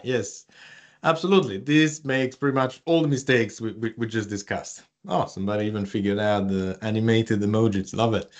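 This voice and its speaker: A man from Italy with an Italian accent, presenting an online workshop and chatting with the audience, with many fillers and restarts. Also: medium pitch. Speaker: research communication presenter.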